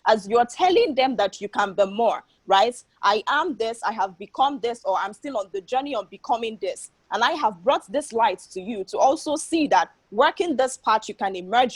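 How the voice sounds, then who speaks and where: A woman's voice: fairly high pitch, dry recording. Woman explaining earnestly, close dry sound. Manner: often tags statements with a questioning "right?". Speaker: interview guest, founder of a women's organization. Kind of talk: earnest explanatory interview answer.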